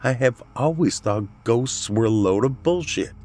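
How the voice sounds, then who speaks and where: A man voicing an anxious, excitable adult woman with an expressive, informal manner in an audiobook. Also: mid-range voice, a little slow, voice pushed up. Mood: freaked out.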